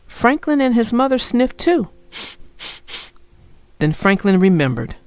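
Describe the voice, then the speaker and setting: A woman narrator reads aloud for children in a fairly high voice with lots of melodic movement. Her delivery is expressive and unhurried. The sound is close and dry. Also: smooth, no room sound. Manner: expressive storytelling. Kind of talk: children's story read-aloud.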